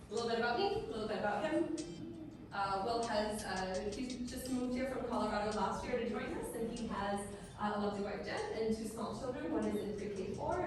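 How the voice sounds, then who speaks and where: A woman's voice: a little high, background noise. Woman presenting in an echoey hall. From Trinidad and Tobago. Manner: chatty, asks the audience questions. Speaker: district STEM director presenting. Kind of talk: conference presentation with audience questions. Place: conference hall. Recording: echoey hall, mic far, crowd behind.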